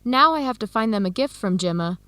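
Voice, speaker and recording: An eager young woman in an educational radio drama, a considerate student with a fairly high voice. She speaks in a friendly, earnest way, in a dry, close studio sound. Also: animated, fairly quick, fairly bright tone, smooth, no echo.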